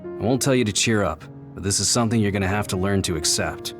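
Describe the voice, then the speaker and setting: A man voicing a calm, considerate squad captain in an anime-style video game, with a measured, authoritative delivery. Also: lowish voice, music in the background, natural voice. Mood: solemn, consoling.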